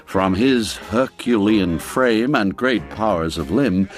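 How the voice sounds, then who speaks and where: Slow, animated male storyteller over music. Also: on the low side. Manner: measured, deliberate storytelling with expressive phrasing. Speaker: narrator. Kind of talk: scripted literary story narration.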